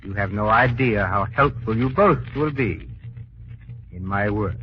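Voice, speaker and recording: An actor playing a sinister, coldly gloating mad scientist in a 1940s radio drama, menacing and theatrical. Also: male voice, fairly low pitch, unhurried, close to an everyday voice. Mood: gloating menace. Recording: muffled 1940s radio recording.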